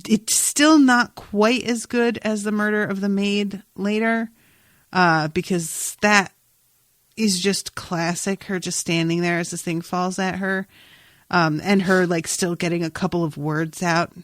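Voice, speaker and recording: A woman hosting a podcast, casually recapping an episode in an animated, amused, sometimes sweary way. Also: medium pitch, a little slow, no echo. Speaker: podcast host.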